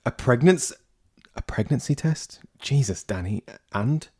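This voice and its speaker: An actor plays a protective male survivor in an audio drama. He speaks bluntly and urgently in a natural, everyday style, quick to question and swear. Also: mid-range voice, expressive, mellow, breathy edge. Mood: stunned. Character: protective, blunt man, expectant father in survivor community.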